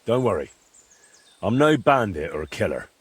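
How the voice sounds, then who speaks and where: A young medieval adventurer in a game, husky and plain-spoken. He talks bluntly with very mobile intonation, and the sound is dry and close. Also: fairly low pitch, big pitch swings, moderate pace, no room sound, full character voice. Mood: resolute. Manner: blunt, questioning. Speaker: video game protagonist. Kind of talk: game cutscene dialogue.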